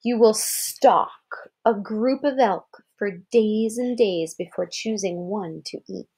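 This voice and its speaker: A woman's voice: mid-range voice, takes it slowly, darkish tone, breathy edge. An American woman reading a children's article aloud like a parent, expressively, with explanatory asides and questions to check understanding.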